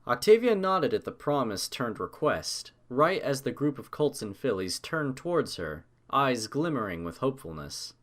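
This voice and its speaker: Male audiobook narrator, mid-pitched voice, animated intonation, scripted storytelling. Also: moderate pace, hardly any pauses.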